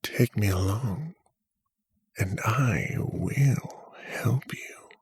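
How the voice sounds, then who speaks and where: A man playing a sinister, seductive creature in an audio drama, coaxing in a creaky voice that often sinks to a whisper. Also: on the low side, animated, darkish tone, no echo, heavily acted. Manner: slow, insinuating, coaxing. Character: sinister, seductive tendrilled creature posing as a friend.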